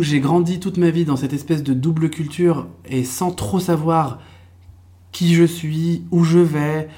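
A young man from France, a podcast guest, telling his life story in a relaxed, reflective way with lots of fillers and restarts. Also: fairly high pitch. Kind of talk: casual conversational storytelling.

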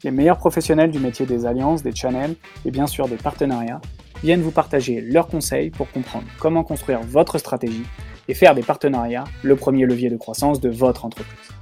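A man hosts a podcast, his voice mid-pitched and mellow. He reads a scripted intro and then asks questions, often trailing off on fillers. Faint music plays behind him. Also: fairly even intonation, brisk, creaky. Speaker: podcast host.

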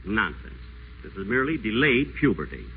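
An actor playing an acerbic, pompous radio celebrity who schemes, in a 1940s radio play. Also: male voice, lowish voice, very animated, fairly quick. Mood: scornful. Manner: sardonic, theatrical, wheedling on the phone. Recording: tinny old radio broadcast, faint hiss.

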